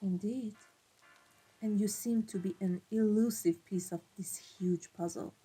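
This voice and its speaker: A woman playing a cold, commanding vampire mafia boss in an ASMR roleplay, speaking in a deliberate, imperious way. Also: mid-range voice, steady tone, mellow, breathy edge, poor audio. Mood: intrigued, probing. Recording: music behind the voice. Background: classical background music.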